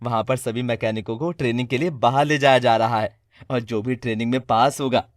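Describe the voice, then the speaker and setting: A man's voice: mid-range voice, steady tone, a little fast, dry recording, pitched below the performer's own voice, lightly acted. A man voicing an earnest, eager, lovestruck young mechanic in a cartoon story. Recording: dry studio voice with music.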